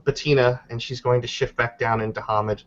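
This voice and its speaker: A man's voice: mid-range voice, fairly even intonation, brisk, a bit bright. Guy playing a tabletop rpg, casually narrating what his character does, muffled lo-fi audio. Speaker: player in a tabletop roleplaying game. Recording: dull, lo-fi voice recording.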